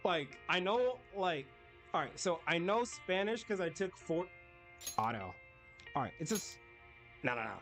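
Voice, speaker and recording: A man's voice: high voice, big pitch swings, a little slow, long pauses, fairly bright tone, soft background music. A man streaming a game, chatting casually with his viewers in a rambling way. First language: English. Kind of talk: casual live-stream chat while gaming.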